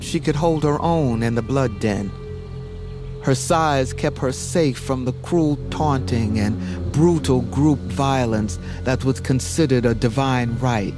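Male narrator for scripted fantasy audio fiction: solemn, dramatic, unhurried delivery, clear music bed. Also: medium pitch. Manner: measured, solemn storytelling.

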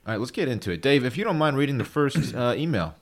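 A man's voice: mid-range voice, quick pace. A man hosting a casual podcast, telling stories with eager, jokey energy. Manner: animated storyteller, eager and jokey. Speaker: podcast host.

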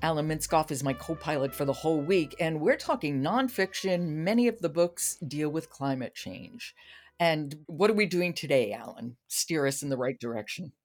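A woman's voice: sits low, lively intonation, moderate pace, faint music behind. A middle-aged American woman hosting a podcast in a conversational book discussion. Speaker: podcast host.

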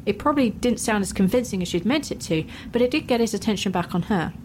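A woman's voice: mid-range voice, lively intonation, quick pace, noisy background. A woman narrator reading a scripted fantasy story.

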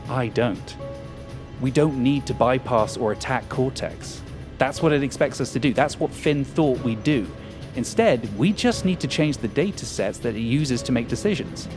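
A man's voice: medium pitch, moderate pace, lightly acted. Man acting smug, scheming hacker over music. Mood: confident, explaining. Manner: smug, cynical, sardonic. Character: arrogant, scheming male hacker hungry for power. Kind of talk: audio fiction acting.

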